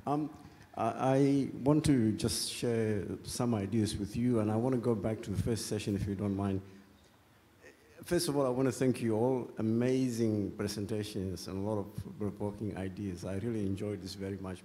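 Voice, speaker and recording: A man's voice: fairly low pitch, normal speed, dark tone. Reflective man speaking up from the audience. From Somalia. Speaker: audience member. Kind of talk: audience comment at a conference. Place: large conference hall. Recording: reverberant hall.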